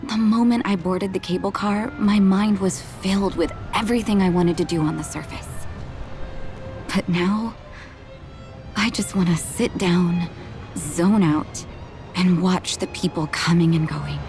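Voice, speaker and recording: A woman playing a kindly, reflective doctor in a video game, speaking in a gentle, thoughtful way. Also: lowish voice, fairly even intonation, faint music behind. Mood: wistful. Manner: gentle, thoughtful, measured, soft laughs and sighs.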